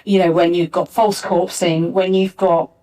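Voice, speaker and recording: A woman's voice: on the low side, little pitch movement, normal speed, warm tone. A woman speaking as a writer on a discussion panel, explaining emphatically and often repeating phrases as she goes. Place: arts centre venue, live panel. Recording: distant backup recording of live panel.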